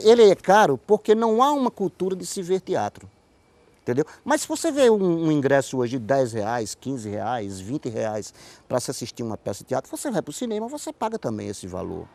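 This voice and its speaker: A man's voice: fairly high pitch, big pitch swings, fairly quick, a little mellow, dry recording. A man with a Brazilian Northeastern accent explaining his views emphatically in a conversational interview, often checking that he is understood. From Pombal, Paraíba, Brazil. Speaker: interview guest, playwright and theater director. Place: outdoor hotel terrace.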